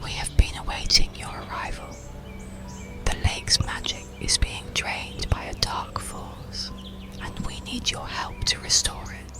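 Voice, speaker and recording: A storybook narrator voicing gentle water nymphs who guard a lake, in a soft, solemn, breathy whisper. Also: a little slow, music in the background, lightly acted. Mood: solemn welcome.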